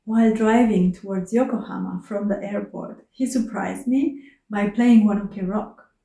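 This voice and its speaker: A woman whose first language is Romanian, narrating her travels in a soft, earnest, contemplative way. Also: medium pitch, fairly even intonation, a little slow, vocal fry, reverberant, low-quality recording. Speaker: travel vlogger.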